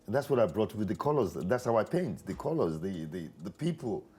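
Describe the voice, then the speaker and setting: A man from Nigeria who is a painter, speaking earnestly in a documentary interview with a few halting repeats. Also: fairly low pitch, big pitch swings, darkish tone, slightly rough. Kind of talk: reflective interview talk. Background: faint music.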